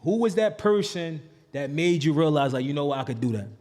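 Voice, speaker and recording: A man's voice: a little high, expressive, fairly quick, darkish tone, big-room sound. A man hosting a podcast, asking questions in casual slang and stumbling over repeated words as he asks. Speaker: podcast host.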